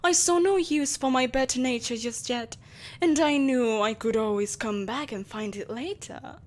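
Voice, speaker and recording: An ageless, ruthless empress of dark magic, performed by a woman for an audio drama. Her voice sits on the high side for a woman. She speaks in deliberate, theatrical phrases, proud and politely condescending, like a ruler addressing an inferior. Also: natural voice. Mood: imperious, musing. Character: ancient, ageless evil sorceress empress, haughty, ruthless, possessive.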